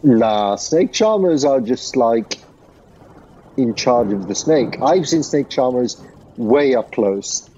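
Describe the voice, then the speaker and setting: A man's voice: medium pitch, animated, takes it slowly, dark tone, lo-fi sound, a little hiss or hum. A man who grew up in Sri Lanka, co-hosting a podcast and arguing earnestly in a rambling way, with lots of fillers. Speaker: podcast co-host. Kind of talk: casual debate.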